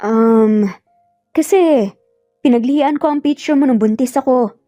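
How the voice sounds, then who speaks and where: A woman's voice: sits high, a little slow, mellow, no echo, soft background music. A woman voicing a nervous, evasive young mother in a romance story, hesitant and apologetic. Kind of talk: dramatic romance audio storytelling.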